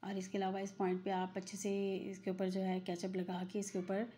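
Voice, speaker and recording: Chatty woman, mellow voice. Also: mid-range voice, monotone, fairly quick. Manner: chatty, repetitive, many fillers. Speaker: cooking channel host. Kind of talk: casual cooking voice-over. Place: home kitchen.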